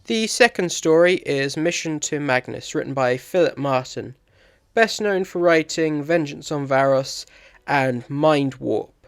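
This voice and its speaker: A man's voice: fairly high pitch, measured pace, clear, resonant tone. A man giving a scripted review as commentary. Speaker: video reviewer.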